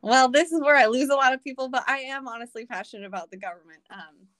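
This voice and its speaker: A woman's voice: high-pitched, fast, a bit bright, dry recording. A young adult woman, a podcast guest, talking earnestly in a casual conversation, with many ums and restarts.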